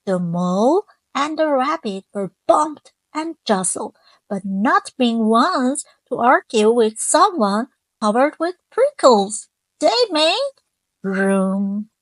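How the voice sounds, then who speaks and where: A woman reading a children's picture book aloud, gently stopping to ask her young listeners questions. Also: a little high, no room sound. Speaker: children's storyteller.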